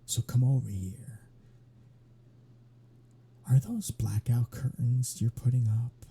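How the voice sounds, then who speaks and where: A man playing a sly, flirtatious vampire in an ASMR roleplay, with a sardonic, teasing drawl that often drops to breathy murmurs. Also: medium pitch, steady tone, slow pace, full character voice. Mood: flirtatious, hungry. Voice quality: husky, breathy, dark. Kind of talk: ASMR roleplay audio drama.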